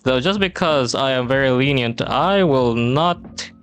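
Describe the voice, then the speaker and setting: A young man from the Philippines chatting casually on a drawing stream, relaxed and rambling with many pauses and fillers. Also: medium pitch. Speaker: streamer and teacher. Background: soft background music.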